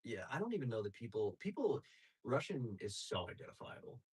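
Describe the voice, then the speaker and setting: Husky, animated comedian in podcast chat. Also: male voice, medium pitch, fairly quick. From Greek family. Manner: animated, bursts into voices. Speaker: comedian guest.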